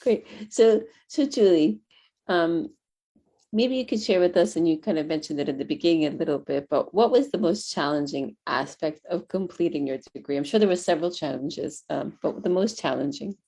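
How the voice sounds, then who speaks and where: A woman's voice: low-pitched, normal speed, dark tone, husky. A woman moderating a panel interview, encouraging and giving frequent backchannel responses.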